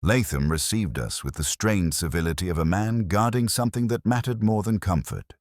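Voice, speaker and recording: Very deep-voiced man narrating a detective mystery. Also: normal speed, darkish tone, no room sound, clean studio sound. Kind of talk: first-person scripted mystery narration.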